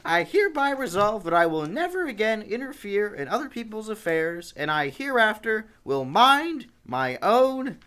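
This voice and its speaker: A man's voice: high-pitched, sing-song, normal speed, hardly any pauses, sharp tone, smooth, heavily acted. A male actor playing a reluctant young son in an old-time radio family comedy, grudging and stiff.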